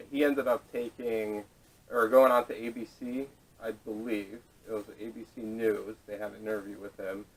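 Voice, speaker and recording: Distant-sounding man hosting a casual podcast. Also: fairly low pitch. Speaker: podcast host introducing topics. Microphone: camera mic, away from speakers. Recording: distant camera microphone audio.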